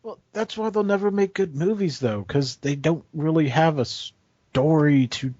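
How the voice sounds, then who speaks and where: A man's voice: fairly high pitch, unhurried, mellow, no echo, highs cut off. A man talking casually as a panelist on a group podcast.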